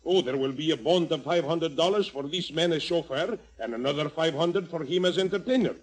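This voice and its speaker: An actor playing a firm, officious customs officer in an old radio serial. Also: male voice, fairly high pitch, a little fast, lightly acted. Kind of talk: 1930s radio serial acting.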